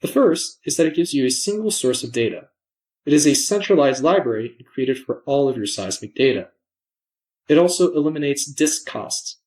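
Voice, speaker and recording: A man presenting a software demo in scripted narration, measured and explanatory, enunciating his words. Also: mid-range voice, lively intonation.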